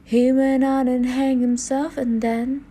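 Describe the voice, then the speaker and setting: Woman as an eerie, high-voiced nursery-rhyme reciter. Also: flat delivery, mellow, a little hiss or hum. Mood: eerie singsong. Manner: measured singsong recitation. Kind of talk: stage play prologue rhyme. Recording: music under the voice.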